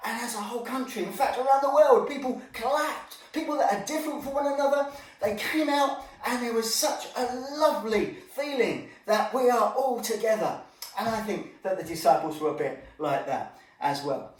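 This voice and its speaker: A man teaching a Bible story to children in an informal, animated way, with asides and exclamations to his young listeners. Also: very high voice, moderate pace. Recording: echoey room, distant microphone.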